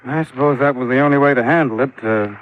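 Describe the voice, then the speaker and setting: A man's voice: medium pitch, fast, slight background noise, lightly acted. An actor playing a sober, businesslike company man in an old radio drama, earnest and explanatory. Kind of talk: 1950s radio drama acting. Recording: muffled vintage radio recording.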